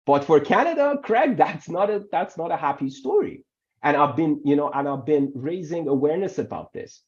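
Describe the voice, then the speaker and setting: A man whose first language is Farsi, working as an immigration lawyer, gives animated webinar answers and often repeats words for emphasis. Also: fairly high pitch, normal speed. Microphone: video call. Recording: muffled video call audio.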